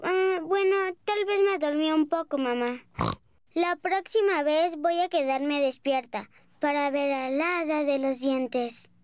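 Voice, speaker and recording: An actor in a children's cartoon dub playing a lively, bossy little girl pig with a childlike, emphatic delivery. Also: high voice, slow, no echo, clean studio sound. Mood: confident.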